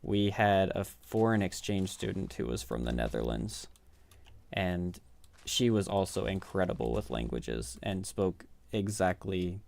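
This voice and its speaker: Chatty Midwestern American man, low voice. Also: vocal fry. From Midwest United States. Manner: chatty, rambling, self-deprecating. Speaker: game streamer. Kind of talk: casual chat with live viewers while gaming.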